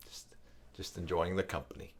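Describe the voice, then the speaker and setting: A man's voice: low-pitched, little pitch movement, normal speed, husky. A man in his fifties hosting a live stream, talking in a casual, rambling, conversational way. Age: 50s. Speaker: live stream host and tutorial maker. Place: downstairs room at home.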